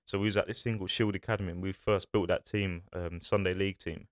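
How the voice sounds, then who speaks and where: A man's voice: deep voice, steady tone, quick pace, dry recording. A young man, a podcast guest, chatting casually and loosely about his past in a small studio, on a desk mic. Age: young adult. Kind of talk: casual chat. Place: small studio with acoustic foam panels. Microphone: desk-mounted podcast mic.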